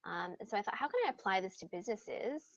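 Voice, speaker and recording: A woman with an American accent, calling in as a guest and explaining things in a relaxed, conversational way. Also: medium pitch, quick pace, no room sound. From upstate New York. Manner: relaxed, conversational, frequent ums and "you know". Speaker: guest speaker. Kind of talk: conversational interview answer. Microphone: call-in line. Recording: dull dry remote call line.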